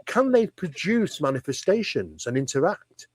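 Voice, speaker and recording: A man's voice: on the high side, big pitch swings, moderate pace, dry recording. A man speaking as an interview guest, explaining conversationally and emphatically, with rhetorical questions and repeated phrases. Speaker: interview guest, paranormal researcher.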